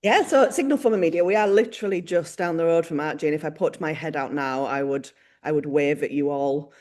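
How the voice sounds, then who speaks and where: A woman's voice: low voice, fairly quick, a little mellow. A woman from an arts organisation, chatting informally and playfully on a video call. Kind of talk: casual chat on video call.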